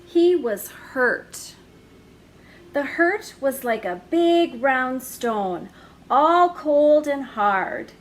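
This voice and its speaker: A woman hosting a children's story hour, reading a picture book aloud in a gentle, expressive way with drawn-out emphasis. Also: high voice, slight background noise.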